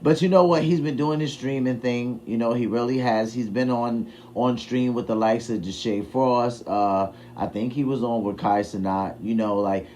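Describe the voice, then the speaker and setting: A man's voice: medium pitch, fairly even intonation, normal speed, hardly any pauses, warm tone, a little hiss or hum. A man hosting a reaction show, talking casually and chattily about a clip he is sharing. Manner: chatty, folksy, faith-minded. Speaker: reaction channel host.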